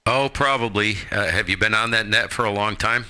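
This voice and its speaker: A man's voice: lowish voice, a little fast, background noise. An American man hosting a ham radio live stream, chatting casually with breezy, joking slang. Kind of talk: casual amateur radio chat.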